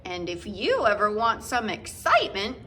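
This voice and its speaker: A proud, confident female city cat from a children's storybook, read by a woman. The voice is bright and lively, with swooping, emphatic phrases that show off a little. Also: mid-range voice, moderate pace. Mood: reassuring.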